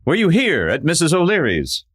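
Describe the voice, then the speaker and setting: Man acting a masked western hero, stern, commanding, formal, highish voice, lively intonation. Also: normal speed, heavily acted. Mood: stern accusing. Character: upright, commanding masked lawman hero of old West. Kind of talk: old-time western radio acting.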